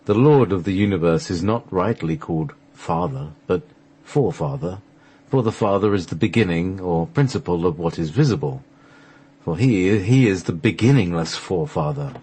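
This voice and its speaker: A man reading a mystical scripted text aloud as a radio show host, in a solemn, measured recitation. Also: low voice, animated, dark tone, husky.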